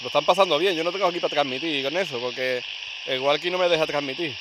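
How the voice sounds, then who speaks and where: A man with a slightly high voice gives casual, halting commentary as a radio hobbyist. Loud crackling static and hiss from a walkie-talkie run behind him. He speaks off the cuff, with no script. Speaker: radio hobbyist recording a vlog. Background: crackling CB walkie-talkie reception.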